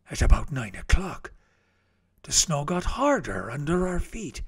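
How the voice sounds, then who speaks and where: A man's voice: mid-range voice, heavily acted. A man reading a storybook as an eccentric explorer uncle recounting Arctic perils in a theatrical manner that savors each word. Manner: theatrical, savoring words, swinging from hushed to urgent.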